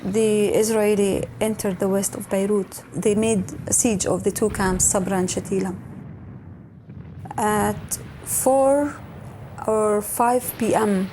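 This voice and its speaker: A woman in her forties from Lebanon, narrating a documentary in a measured, plain-spoken way. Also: medium pitch, steady tone, warm tone, slight background noise.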